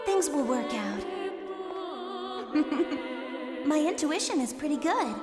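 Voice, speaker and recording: A bubbly, optimistic girl adventurer from a game. Her voice is extremely high and very slow-paced, reassuring in tone, with heavy echo. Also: lively intonation. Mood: cheerful. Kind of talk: dubbed game cutscene acting. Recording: echoey long reverb under loud music.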